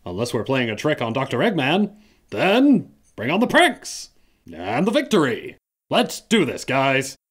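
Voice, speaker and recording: A man's voice: mid-range voice, wildly swooping pitch, measured pace, fairly bright tone, slightly rough, heavily acted. A self-important male echidna who talks theatrically and crowns himself leader, played by a man. His voice swings widely, giving every line a grand, showy lilt. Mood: pompous. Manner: theatrical, pompous, melodramatic. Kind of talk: fan audio drama acting.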